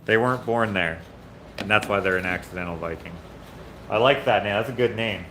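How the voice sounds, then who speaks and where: A man's voice: fairly low pitch, brisk. A man hosting a live build stream, chatting casually with viewers while he works and often correcting himself mid-sentence. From Canada. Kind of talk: casual chat while working. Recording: echoey workshop with loud background noise.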